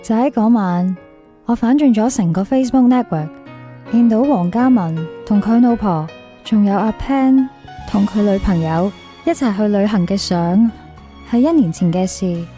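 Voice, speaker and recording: A woman's voice: mid-range voice, moderate pace, mellow, natural voice. A woman playing an insecure, lovelorn young woman in a radio drama, plaintive and questioning, with a Hong Kong Cantonese accent. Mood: heartbroken. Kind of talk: radio drama acting with confessional narration. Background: music under the voice.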